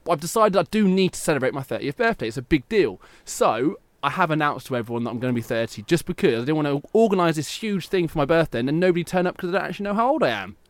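A man's voice: on the high side, lively intonation, rapid-fire, few pauses, no echo. A man in his late twenties hosting a casual sports radio chat, joking about himself and laughing often. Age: late 20s. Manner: chatty, laughs often, self-mocking. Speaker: radio show host.

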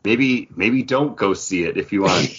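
A deep-voiced man with a husky edge hosts a casual podcast chat. His pitch swings widely as he jokes and adds quick asides. The audio sounds a little dull and lo-fi. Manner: animated, joking, quick asides. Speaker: podcast host.

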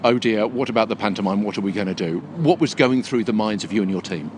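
A man's voice: fairly low pitch, quick pace. A British man conducting an on-location interview in a chatty, conversational manner. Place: inside a circus big top tent. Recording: noisy location recording inside big tent.

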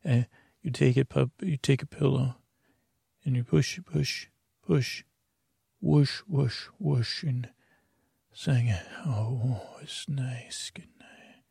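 A sleepy kid, played by a man, murmurs low-key nonsense in halting, repeated scraps. The voice is creaky and very close and dry, as if half dreaming through an improvised interview. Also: warm tone, dry recording, full character voice. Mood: sleepy, murmuring. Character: drowsy sleeping kid mumbling nonsense answers.

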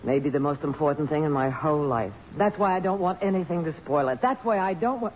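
Casting: actress as poised, sharp-witted middle-aged female politician, earnest and crisp, 1950s radio drama, dull noisy recording. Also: low-pitched, a little fast, lightly acted. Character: self-possessed, sharp-witted congresswoman in love, middle-aged.

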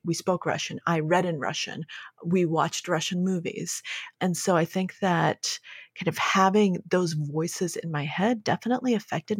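Woman, American accent, husky fry, dry close sound, articulate, reflective, conversational interview guest. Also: fairly low pitch, no room sound. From born in Moscow, raised in the United States.

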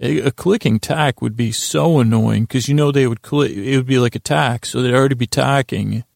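A man with plenty of vocal fry delivers a rambling bedtime monologue, recorded dry with almost no room sound. He halts, doubles back and loses his thread. His asides are apologetic and self-deprecating. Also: mid-range voice, darkish tone, no echo. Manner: meandering, halting, self-interrupting, keeps losing the thread. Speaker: podcast host. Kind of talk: rambling sleep-aid monologue.

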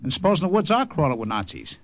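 An actor playing a wary, streetwise American saboteur, blunt and slangy, in old radio drama style. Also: male voice, fairly high pitch, very animated, quick pace, no room sound. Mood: impatient, wary. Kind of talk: 1950s radio drama acting. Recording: noisy old radio recording.

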